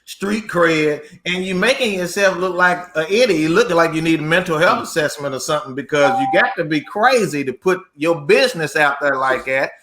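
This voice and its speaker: A man's voice: a little high, fairly quick, hardly any pauses, bright, forceful tone. A man co-hosting a talk show, chatting casually in a blunt, colloquial way. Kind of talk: casual chat.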